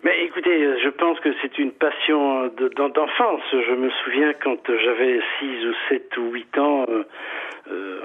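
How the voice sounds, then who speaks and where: A man's voice: medium pitch, slightly rough. A middle-aged man from southern Italy, a museum owner speaking French as a guest on a phone interview, hesitant and repeating words often. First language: Italian. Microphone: telephone. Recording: tinny phone line.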